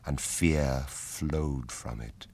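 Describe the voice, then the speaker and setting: A man with a husky voice, narrating an epic fantasy radio drama in a solemn, measured way. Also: extremely low pitch, a little mellow, full character voice.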